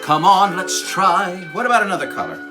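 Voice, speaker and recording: A man's voice: sits high, sing-song, brisk. A man hosting a children's puppet show and sing-along, exclamatory and encouraging, repeating his phrases. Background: music.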